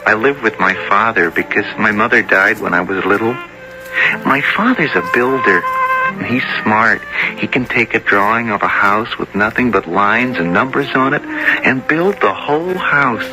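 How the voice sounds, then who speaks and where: An actor playing a simple, earnest, dreamy teenage boy in a radio drama, speaking in a naive, halting, wondering way. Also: on the low side, animated, lightly acted, poor audio. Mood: plain, earnest. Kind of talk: 1970s radio drama acting, first-person storytelling. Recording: old radio broadcast with music underneath.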